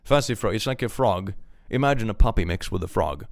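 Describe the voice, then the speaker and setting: Male streamer, relaxed and chuckly, lively swings in pitch, dry close sound. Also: brisk, creaky, no room sound. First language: German. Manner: laid-back, chuckles often, trailing fillers. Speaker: streamer reading viewer chat. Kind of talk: casual live-stream chat.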